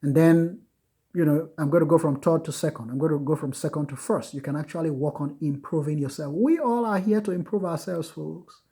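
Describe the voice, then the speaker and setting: Casting: man, husky voice, earnest lecturer who circles back on his points. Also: fairly high pitch, dark tone. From United States. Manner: earnest, repetitive, many filler pauses. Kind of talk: informal recorded lecture on Buddhism.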